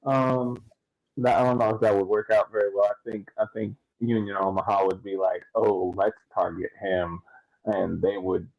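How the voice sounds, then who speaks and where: A man on a podcast panel giving casual sports analysis, explaining in halting, emphatic phrases that he often repeats. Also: on the low side, level tone, a little mellow, no echo, lo-fi sound.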